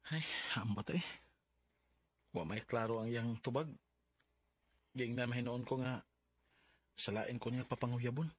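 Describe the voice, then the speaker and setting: An actor playing an earnest, insecure young suitor in a Filipino radio drama, with a husky voice and a Bisaya accent. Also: male voice, medium pitch, measured pace, long pauses, dry recording. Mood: lovesick, earnest.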